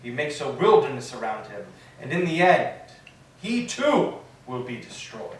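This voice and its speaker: A man's voice: mid-range voice, very animated, a little slow. A man playing a grand, commanding sea god, speaking formally in a staged reading of Greek tragedy. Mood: commanding, solemn. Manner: formal, declamatory. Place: echoing church interior. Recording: very echoey hall, distant mic.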